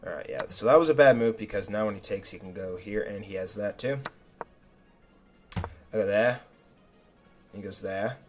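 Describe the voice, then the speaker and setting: A young man, a chess streamer, talking casually and self-critically through his own game, his voice a little raspy. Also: on the low side, normal speed, long pauses. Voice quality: slightly raspy. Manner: chatty and self-critical, thinking aloud move by move. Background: faint background music.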